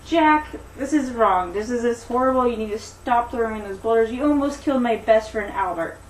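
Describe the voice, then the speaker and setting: A woman's voice: on the high side, fairly quick, pitched above the performer's own voice, close to an everyday voice. Woman as a small, indignant storybook heroine, echoey. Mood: indignant, upset. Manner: indignant, dramatic, plain-spoken. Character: small, fussy, easily upset female cave dweller. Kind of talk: children's storybook voice. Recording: roomy, distant mic, faint noise.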